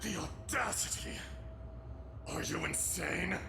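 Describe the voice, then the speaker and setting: A man playing a wrathful ancient dragon in a video game, gravelly and theatrically indignant. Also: a little high, takes it slowly, heavily acted. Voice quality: gravelly, creaky. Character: wrathful ancient dragon betrayed by its other self. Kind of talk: dramatic video game voice acting. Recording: loud music behind the voice. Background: loud game music.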